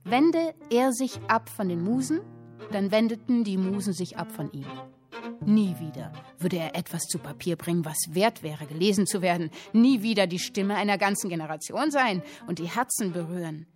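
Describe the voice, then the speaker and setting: An actress playing a captive woman who reads literary prose aloud in a radio drama, earnest, expressive and deliberate. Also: mid-range voice, faint music behind, natural voice. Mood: impassioned.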